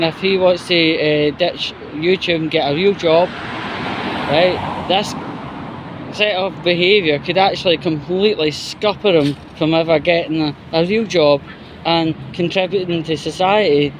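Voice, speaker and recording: A man's voice: high voice, noisy background. A man giving an opinionated, exasperated, sweary commentary in a casual vlog style. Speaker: YouTuber.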